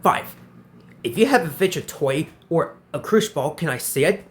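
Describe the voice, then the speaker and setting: A man's voice: on the high side, expressive, normal speed. A man in his twenties hosting a casual question-and-answer vlog, who often repeats himself and restarts his phrases. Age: 20s. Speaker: channel host answering viewer questions.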